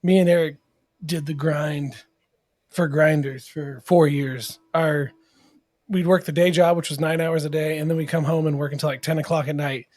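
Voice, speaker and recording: A man's voice: a little high, fairly even intonation, normal speed, a little mellow, dry recording. A man as podcast guest and sponsor, talking casually with enthusiastic, rambling stories. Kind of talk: casual chat.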